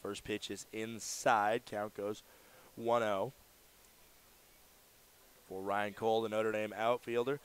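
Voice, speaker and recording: A man doing live radio play-by-play commentary of a baseball game, in a measured delivery that lifts for the action. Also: lowish voice, flat delivery, a little hiss or hum.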